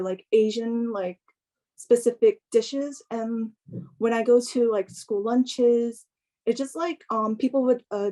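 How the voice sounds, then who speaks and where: Young woman, higher mellow voice, halting delivery. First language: English. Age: young adult. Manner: hesitant, halting, peppered with "like". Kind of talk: informal personal testimony.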